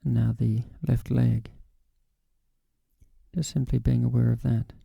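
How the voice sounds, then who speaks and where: A man guiding a body scan meditation in a calm, gentle, unhurried way with long pauses. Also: mid-range voice, gravelly, husky, dry recording, muffled recording. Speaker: meditation guide.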